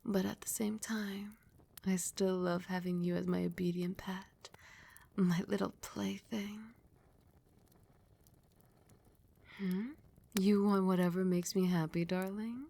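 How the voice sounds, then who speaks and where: A woman playing a doting, dominant, seductive vampire queen in a soft, breathy, often whispered ASMR roleplay, with slow, soothing endearments. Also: medium pitch, steady tone. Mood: tender, intimate.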